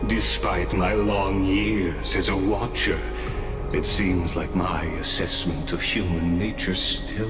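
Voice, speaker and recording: Deep-voiced, courteous robot watcher. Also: male voice. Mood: polite, firm. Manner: formal, measured, unfailingly polite. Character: courteous, calculating male robot watcher, long-lived gatekeeper. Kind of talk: scripted video game voice acting. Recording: echoing voice over clear background music.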